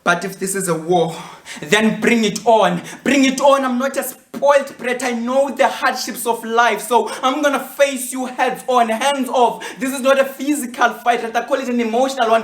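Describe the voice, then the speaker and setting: A woman's voice: fairly high pitch, lightly acted. A South African woman performing a defiant, hungry woman's dramatic spoken word monologue. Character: defiant hungry woman confronting hunger as an enemy. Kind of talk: dramatic spoken word monologue, switching between English and Xhosa. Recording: echoey room.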